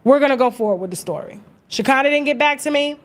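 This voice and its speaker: An American woman telling gossip in a casual, animated, story-like way, brash and sassy, swearing freely and acting out conversations. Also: sits high, quick pace. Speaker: gossip vlogger and host.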